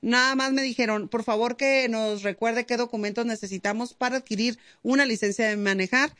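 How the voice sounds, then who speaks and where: A woman's voice: on the high side, steady tone, fairly quick, fairly bright tone, no room sound. A woman hosting a radio show in upbeat, chatty talk with hesitant fillers. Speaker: radio host. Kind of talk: casual radio chat. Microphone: studio mic.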